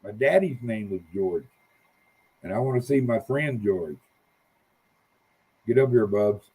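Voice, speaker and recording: Man on a call, low and husky, a bit tipsy and rambling. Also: lively intonation, measured pace, dark tone, low-quality recording. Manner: rambling, folksy, easygoing. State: a little tipsy. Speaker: livestream guest. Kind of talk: casual livestream chat.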